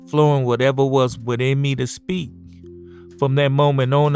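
Man, middle-aged, from New Orleans, poet, measured reading, faint guitar music behind. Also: mid-range voice. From New Orleans, USA. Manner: measured, spells words out. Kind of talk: reading a self-introduction and a poem. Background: soft guitar music.

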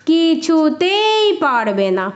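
A woman's voice: high voice, sing-song, drawn-out words, lo-fi sound, heavily acted. A woman voicing a boastful, scoffing village shopkeeper man in a storybook tale.

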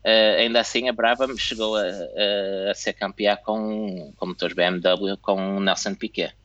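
A man talks casually as a co-host, stopping often on fillers before picking his thread back up. His slightly low voice carries a bright, forceful edge. The sound is dry and dull, like lo-fi call audio. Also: steady tone, unhurried, no room sound. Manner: hesitant, many fillers and restarts. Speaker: co-host of a motorsport tech talk. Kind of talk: casual chat. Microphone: remote call mic.

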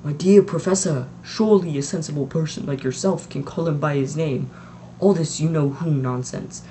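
A reader voicing a gentle, calm old male wizard with a mildly amused manner. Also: medium pitch, moderate pace, slight background noise, natural voice. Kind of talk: audiobook reading.

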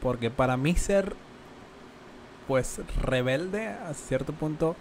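Young man, Mexican accent, podcast co-host, slightly high pitch, relaxed slangy talk, faint background noise. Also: takes it slowly. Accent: Mexican Spanish. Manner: relaxed, slangy, lots of filler words. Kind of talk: casual podcast chat.

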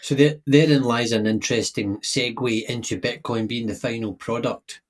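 Husky male podcast co-host, emphatic and opinionated, casual chat. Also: mid-range voice, fairly even intonation, normal speed. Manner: opinionated, emphatic, repeats words when starting.

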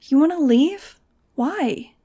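A woman's voice: fairly even intonation, unhurried, mellow. A woman voicing an upset young mutant girl. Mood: alarmed. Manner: pleading, emotional, higher-pitched. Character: young winged mutant girl, sensitive and weary.

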